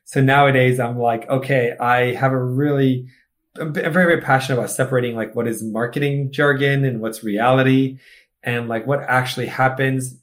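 Founder, 30s, from Egypt. Lively, quick-bursting podcast guest, medium pitch. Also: male voice. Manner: animated storyteller, fast bursts and filler "like". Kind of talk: casual interview chat.

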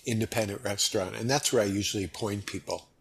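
Husky-voiced American man, easygoing. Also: lowish voice, quick pace. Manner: easygoing, relaxed, chatty. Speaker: podcast guest. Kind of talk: casual interview chat.

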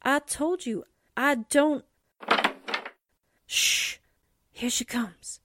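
A woman's voice: high voice, extremely slow, very noisy. A woman playing a plucky, suspicious young newspaper secretary in a theatrical 1940s radio drama style. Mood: anxious secrecy.

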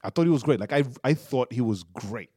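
A man's voice: medium pitch, breathy edge, no echo. A man co-hosting a podcast, talking casually and emphatically, often asking "right?". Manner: emphatic, excitable, tag questions and quick laughs. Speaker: podcast co-host. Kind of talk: casual chat about a TV episode.

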